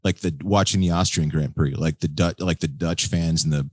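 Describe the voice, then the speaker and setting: An American man hosting a podcast in casual, slangy chat, with a husky voice and a laid-back manner. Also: deep voice, moderate pace, darkish tone, no echo, studio quality. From United States. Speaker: podcast host. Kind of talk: casual chat about motor racing.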